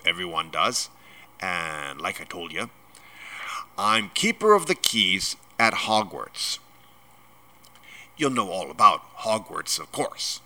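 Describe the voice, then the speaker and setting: Blustering giant gamekeeper, growling and booming, bright forceful tone, big pitch swings, storybook reading. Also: male voice, big pitch swings, takes it slowly, pauses often, heavily acted. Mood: gruff, genial. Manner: booming, growling, rustic speech. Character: huge gruff giant gamekeeper, blustering and indignant.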